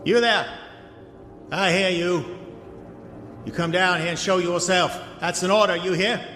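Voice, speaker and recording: A man's voice: high-pitched, fairly even intonation, measured pace, bright, forceful tone. An actor playing a proud, paranoid man guarding his gold in a haunted house, with formal, declamatory delivery, for a horror audio drama. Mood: commanding, frantic. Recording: echoey, long reverb, music bed.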